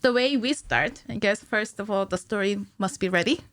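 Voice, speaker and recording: A woman's voice: medium pitch, moderate pace, fairly bright tone, no room sound. A woman in her thirties with a mid-range voice chats as an interview guest. She talks informally, thinking aloud in short bursts. The sound is close and dry, from a studio microphone. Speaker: podcast guest, cinematic animator. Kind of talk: casual interview chat. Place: small indoor podcast studio. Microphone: studio mic on a stand, headphones.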